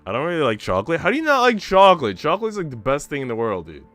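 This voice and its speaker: A man's voice: a little high, huge pitch swings, racing, fairly bright tone, no room sound. A man livestreaming, talking in an informal, slangy, rambling way as he chats and reads a visual novel aloud. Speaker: streamer. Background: faint game music.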